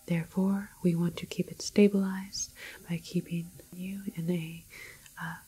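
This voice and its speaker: A woman doing an ASMR roleplay as a calm, apologetic doctor, speaking in whispers and hushed, halting explanations. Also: fairly low pitch, breathy edge. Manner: hesitant, halting, gentle clinical explaining. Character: calm, apologetic female doctor in a quarantine facility. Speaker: ASMR performer playing a doctor.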